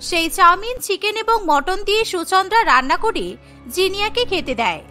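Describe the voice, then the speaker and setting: A woman's voice: extremely high pitch, lively intonation, brisk, sharp tone, soft background music. A woman narrating a scripted cartoon story as its storyteller.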